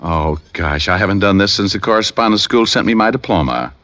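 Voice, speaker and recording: An actor playing a wry, sarcastic, unflappable private detective in an old-time radio drama. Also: male voice, fairly low pitch, lively intonation, a little fast, fairly bright tone. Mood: dry, sarcastic. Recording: dull, lo-fi vintage radio recording.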